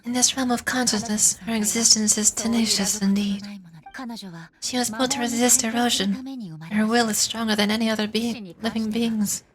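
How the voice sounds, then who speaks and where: Woman acting solemn, regal immortal warrior ruler, higher voice. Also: level tone, normal speed, a crowd in the background, lightly acted. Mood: solemn, resolute. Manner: formal, grave, declamatory. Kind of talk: video game dramatic voice acting.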